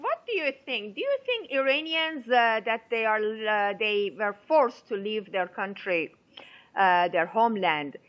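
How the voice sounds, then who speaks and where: A woman radio host whose first language is Persian, asking interview questions in halting, hesitant phrasing with many pauses. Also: a little high, lively intonation, highs cut off.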